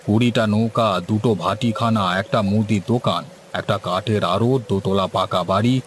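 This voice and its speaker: Man acting weary old village fisherman, lowish voice. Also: steady tone, moderate pace, a little hiss or hum, close to an everyday voice. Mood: resigned. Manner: rustic, colloquial speech. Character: weary, resigned older village boatman fisherman. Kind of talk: Bengali cartoon story dialogue.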